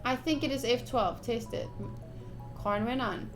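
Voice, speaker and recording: A woman livestreaming a visual novel playthrough, reading the text aloud with excitable, playfully dramatic and casual commentary. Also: on the high side, unhurried, music in the background. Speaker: streamer playing a visual novel.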